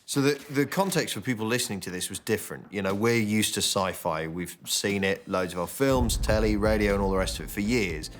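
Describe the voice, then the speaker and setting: Documentary commentator: British man, very low voice, chatty and explanatory, prominent music behind. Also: brisk, hardly any pauses. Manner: colloquial, explanatory. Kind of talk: conversational interview.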